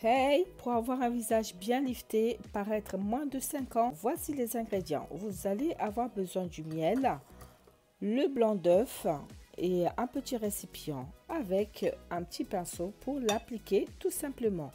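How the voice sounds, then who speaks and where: A woman's voice: on the high side, animated, a little slow, mellow, soft background music. A woman from the Comoros with a stuffy nose from a cold, chatting casually through a beauty how-to vlog with many hesitations. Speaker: beauty vlogger.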